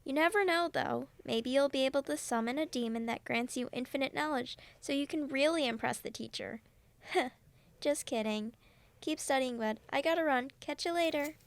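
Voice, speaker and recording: Woman playing a chill supportive college buddy in an audio play, high voice w lots of creak, casual and encouraging. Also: moderate pace, no room sound. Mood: casual, upbeat. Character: friendly, easygoing student friend, supportive and upbeat. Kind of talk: student audio drama acting, casual dialogue.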